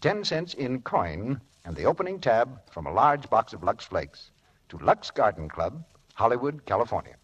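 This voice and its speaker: Husky, animated male radio announcer. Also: mid-range voice, lo-fi sound. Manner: emphatic, deliberate, salesmanlike. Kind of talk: 1940s radio sponsor announcement. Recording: vintage radio broadcast.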